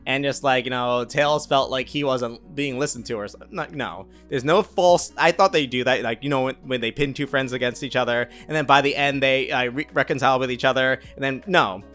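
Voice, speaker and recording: A man's voice: mid-range voice, fairly quick, hardly any pauses, a bit bright, faint music behind. A man giving a casual video review, talking straight to viewers with exaggerated emphasis and playful, slangy asides. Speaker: YouTube movie reviewer.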